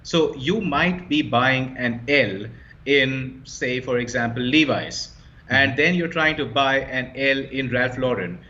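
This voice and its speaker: A man's voice: medium pitch, sharp tone, a little hiss or hum. A man explaining his startup as an interview guest, fluent and confident, stressing key points. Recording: slightly dull lo-fi sound.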